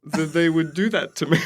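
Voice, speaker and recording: A man chatting casually as a comedian guest in an interview, with playful, dry jokes and mock-earnest asides. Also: a little high. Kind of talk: casual conversational interview chat.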